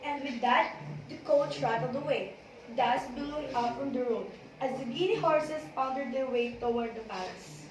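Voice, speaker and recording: High-voiced woman narrating in an echoing room. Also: normal speed. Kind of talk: scripted storybook narration in amateur readers' theater. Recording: very echoey room, distant, noisy.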